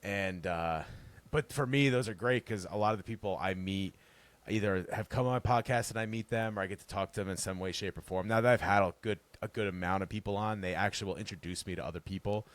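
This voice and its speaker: A man with a very deep bass voice, chatting casually as a podcast guest, rambling and relaxed with frequent laughs. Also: fast talker, no echo. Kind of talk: casual interview chat.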